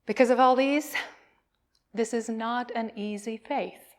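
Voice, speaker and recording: A woman minister leading worship, delivering poetic words in a solemn, emphatic and deliberate way. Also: a little high, fairly even intonation. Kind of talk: call to worship, poetic reading.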